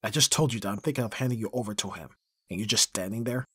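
Man acting a gruff, husky bodyguard, abrasive and guarded. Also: fairly low pitch, quick pace, a bit bright, no room sound. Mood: exasperated, harsh. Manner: gruff, sighing, swearing, blunt. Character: abrasive, brutally honest male bodyguard, guarded and protective. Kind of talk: intimate ASMR roleplay acting.

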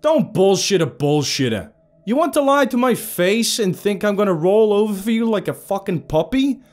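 A man's voice: fairly low pitch, big pitch swings, measured pace, smooth. A man voicing a defiant, foul-mouthed young man who talks back to his captors. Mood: furious. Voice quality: loud and profane, shouting.

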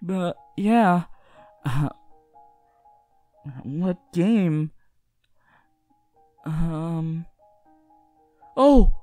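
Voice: medium pitch, drawn-out words, pauses often, mellow, dry recording. A performer voicing a nervous, sweet teenage boy hero-in-training who stammers and mumbles in a fan roleplay audio. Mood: flustered, bashful, then excited. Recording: music behind the voice.

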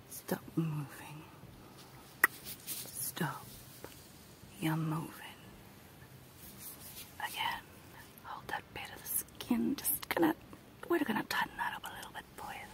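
A woman's voice: low-pitched, very animated, full character voice. Woman playing a creepy whispering evil clown dentist. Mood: menacing, soothing. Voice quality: breathy whisper. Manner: slow, menacing, sweetly coaxing. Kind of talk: whispered ASMR horror roleplay. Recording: noisy close-up home recording.

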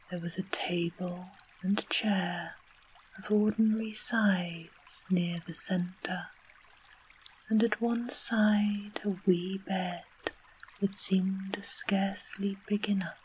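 A storyteller narrates a fairy tale in a soft, unvoiced whisper, each phrase drawn out very slowly. There is a faint hiss of background noise behind the voice. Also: medium pitch, poor audio. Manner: slow, unvoiced whisper throughout. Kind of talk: whispered bedtime story reading.

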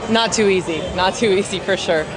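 A woman's voice: medium pitch, fairly quick, a crowd in the background, low-quality recording. A woman in her twenties answering street interview questions frankly and confidently on a city street at night. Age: 20s. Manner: frank, self-assured.

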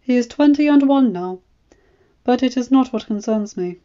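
A woman reads as a shrewd court spymaster in a fantasy story. The voice is raised high, with a formal, indulgent, knowing delivery. Every phrase sounds like calculated scheming. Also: animated, moderate pace, mellow, dull audio, lightly acted. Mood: knowing, insistent. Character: shrewd, smooth, scheming male royal spymaster.

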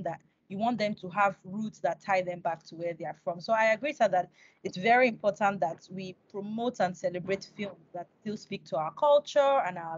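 A woman's voice: mid-range voice, no echo. A woman in her thirties with a Nigerian accent, hosting an interview in a respectful, personal, conversational way. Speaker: interview host. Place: indoors, on a video call. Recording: dull, dry video call audio.

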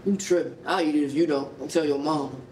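A man plays an easygoing young American uncle in a staged reading, teasing and slangy with a soft heart. His voice sits a bit high, and the hall sounds roomy and distant. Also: fairly even intonation, a little fast, lightly acted. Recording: roomy hall, distant mic, faint noise.

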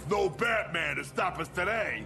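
A hulking, dim mud monster, with a gravelly, somewhat high voice. He speaks brashly with lively swings. A video game cutscene. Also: moderate pace, music in the background.

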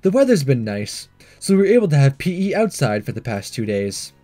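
Man playing a breezy, mellow teenage boy. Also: medium pitch, very animated, brisk, faint music behind, lightly acted. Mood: confident. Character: breezy, confident teenage boy disguised as a schoolgirl. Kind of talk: first-person visual novel narration and dialogue.

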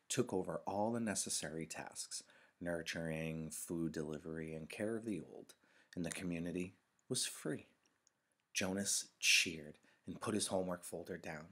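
A man reading a novel aloud like a teacher, in a measured, expressive storytelling manner. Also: deep voice, husky. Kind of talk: audiobook-style read-aloud narration of a novel.

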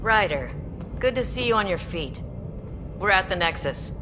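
An actress playing a composed, authoritative starship captain in a video game. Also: female voice, medium pitch, expressive, moderate pace, lightly acted. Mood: composed, commanding. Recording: noisy vehicle ambience.

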